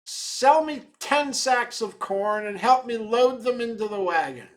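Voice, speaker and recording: A man's voice: very high voice, lively intonation. Guy doing an old trapper stranger, polite n determined, storybook reading, takes his time. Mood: courteous, resolute. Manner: courteous, deliberate.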